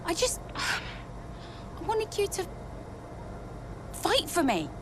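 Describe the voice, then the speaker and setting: A woman's voice: very high-pitched, wildly swooping pitch, very slow, noisy background, exaggerated voice. A woman playing a headstrong young female racing driver, blunt, sardonic and emotional, in a game cutscene. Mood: hurt, pleading. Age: young adult. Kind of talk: dramatic video game cutscene acting.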